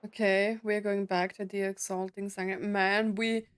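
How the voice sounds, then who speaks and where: A woman's voice: medium pitch, level tone, moderate pace, clear, resonant tone, dry recording. A woman gaming streamer giving casual let's play commentary, often wondering aloud in questions. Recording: faint game music behind.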